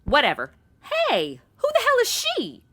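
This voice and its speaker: A brash, self-absorbed young woman in a comic audio drama. Her voice is sharp and swings widely as she exclaims and tosses out sarcastic slang. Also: high voice, huge pitch swings, moderate pace, full character voice. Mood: blunt, self-absorbed. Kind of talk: comedic audio drama acting.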